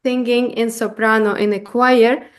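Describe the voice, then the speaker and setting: Halting vocal coach woman, slow speech. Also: on the high side, level tone. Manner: halting, many restarts, explains with examples. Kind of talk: conversational interview answers.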